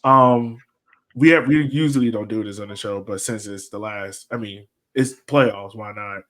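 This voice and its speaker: A man's voice: fairly low pitch, moderate pace, fairly bright tone. A man hosting a casual sports podcast panel, talking in an informal, slangy and playful way. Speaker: podcast host.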